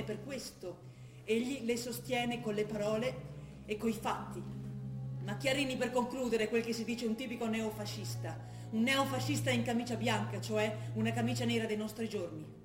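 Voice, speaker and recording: Woman acting young revolutionary, earnest, insistent, declamatory, high-pitched, stage drama, music behind. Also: echoey room, close to an everyday voice. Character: young militant daughter, earnest, ideologically convinced revolutionary.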